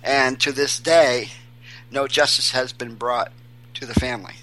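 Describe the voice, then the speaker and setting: Bright-voiced halting male guest. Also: medium pitch, steady tone, rough recording. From Maine, USA. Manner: halting, many restarts and fillers. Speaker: guest, volunteer searching for missing children. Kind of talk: casual interview.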